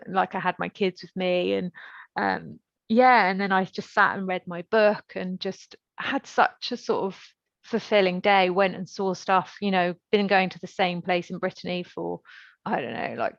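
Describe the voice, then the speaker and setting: Woman podcast host, creaky voice, dull audio. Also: on the low side, steady tone, normal speed, no room sound. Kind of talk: casual conversational interview.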